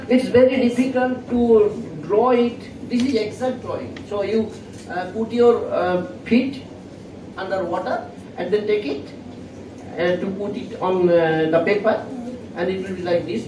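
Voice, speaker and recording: A soft-spoken male chemistry professor with an Indian English accent, giving a classroom lecture in a halting way with many fillers and self-corrections. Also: high-pitched. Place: lecture hall. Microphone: fixed microphone at a distance. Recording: echoey hall, distant mic, noisy.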